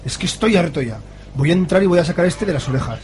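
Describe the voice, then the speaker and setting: A man's voice: fairly high pitch, lively intonation, fast, darkish tone, close to an everyday voice. A man acting an irate, pious, bigoted character who rants and exclaims in an amateur radio soap opera. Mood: grumbling, impatient. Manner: ranting, exclamatory.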